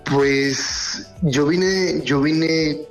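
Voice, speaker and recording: A young man from Colombia with a Colombian accent softened by years in Spain, a medical researcher speaking hesitantly and thoughtfully. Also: on the high side, fairly even intonation, extremely slow, faint music behind. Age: young adult. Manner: hesitant, thoughtful, many fillers and restarts.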